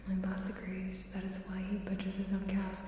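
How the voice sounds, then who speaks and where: A woman talks in a soft, mellow ASMR voice that often slips into whispers. She takes her time, with a playful, coy manner. The sound is heavily echoing and a little distant, with faint ambience behind her. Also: mid-range voice, monotone, moderate pace. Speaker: ASMR live streamer. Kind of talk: ASMR casual chat with whispering. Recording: reverberant hall-like echo, faint hiss, distant.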